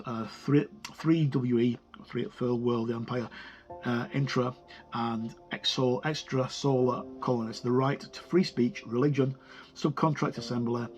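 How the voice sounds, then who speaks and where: Man, medium pitch, tabletop game reviewer, casual walkthrough, halting informal delivery, clear music in the background. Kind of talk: casual book walkthrough, alternating read-out passages and commentary.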